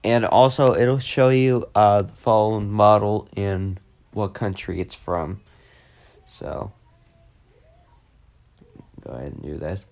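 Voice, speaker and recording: A man giving a casual, unscripted tech demo in a halting, repetitive way that tends to trail off. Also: lowish voice, a little mellow. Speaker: video host demonstrating a walkie-talkie app.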